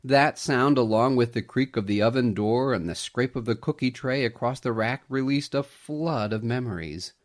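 A man reading a story aloud as scripted narration, with an expressive, dramatic storytelling delivery. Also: medium pitch, normal speed, hardly any pauses.

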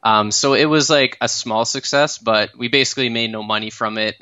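A Canadian man in his thirties tells his founder story as a podcast guest, with a bright, smooth, rather flat-pitched voice. He talks in a loose, casual way full of fillers and restarts. The sound is dull and lo-fi, as if from a remote call. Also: brisk, no room sound. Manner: relaxed, lots of fillers and self-restarts.

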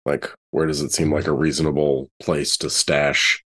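Very deep-voiced man at game table. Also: little pitch movement, normal speed, fairly bright tone, slightly rough. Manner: informal, thinking aloud. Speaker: tabletop role-playing game player. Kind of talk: casual game-table chat.

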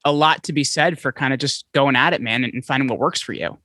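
A man being interviewed as a podcast guest, talking in quick, animated stories full of restarts. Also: mid-range voice, dry recording. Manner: fast, animated storytelling with many restarts. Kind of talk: conversational interview. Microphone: podcast microphone, remote call.

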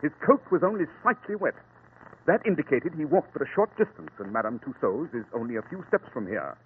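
An actor playing a confident male detective who explains his deductions crisply, in 1940s radio acting. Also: a little high, very animated, fairly quick, slight background noise. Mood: explaining. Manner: crisp, deductive, self-assured. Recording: tinny old radio broadcast.

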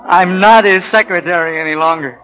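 An actor playing a timid, self-pitying office clerk who stammers anxiously, in 1940s radio drama style. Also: male voice, sits high, brisk, a bit bright, poor audio. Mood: dejected, bitter.

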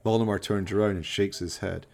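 A man's voice: on the low side, steady tone, fairly quick, gravelly. A man narrating a scripted radio play in an arch, florid, dramatic storytelling manner.

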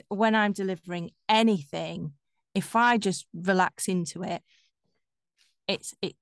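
A woman's voice: lowish voice, no room sound, studio quality. A middle-aged woman with a Yorkshire accent, a voiceover artist chatting casually as an interview guest. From Yorkshire, England.

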